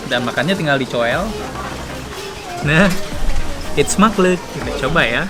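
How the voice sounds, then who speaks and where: A man's voice: fairly high pitch, animated, measured pace. A man from Bandung, hosting a travel show with casual, giggly, repetitive food-tasting chat. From Bandung, Indonesia. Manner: chatty, giggly, repeats himself. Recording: loud music behind, outdoor location sound. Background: loud music.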